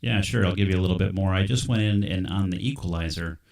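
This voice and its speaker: A man's voice: low-pitched, fast talker, vocal fry, very noisy. A man running a live ham radio stream, chatting with a contact about signal reports and his audio settings. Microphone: studio microphone.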